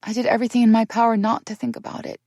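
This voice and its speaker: A woman's voice: fairly high pitch, little pitch movement, racing, darkish tone, no echo, studio quality, pitched above the performer's own voice, lightly acted. A woman reading an audiobook voices a distraught young woman confessing a painful secret, her speech pleading and breaking into tears.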